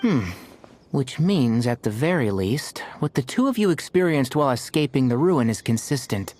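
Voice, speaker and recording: A man voicing a calm, wry young healer. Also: medium pitch, moderate pace, natural voice. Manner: calm, lightly teasing. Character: gentle, dry-witted young male healer. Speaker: doctor.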